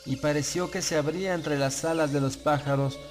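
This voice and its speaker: A man narrating a gothic tale as an audiobook, in a solemn, measured, literary delivery with phrases that fall away at the end. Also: a little high, steady tone. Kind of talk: gothic horror story narration in Spanish, first person. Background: background music.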